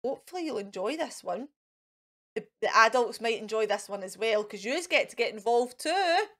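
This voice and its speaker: A woman's voice: on the high side, expressive, moderate pace, fairly bright tone. A Scottish woman in her thirties presenting playfully to children as a fairy host, with an exaggerated, sing-song, coaxing and encouraging manner. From Scotland. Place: indoors in front of a fairy backdrop.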